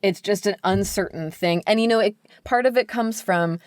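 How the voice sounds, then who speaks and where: A woman's voice: mid-range voice, moderate pace, no room sound, studio quality. An American woman speaking candidly and emphatically as an editor being interviewed on a podcast, peppering her talk with "like". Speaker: book editor, podcast guest.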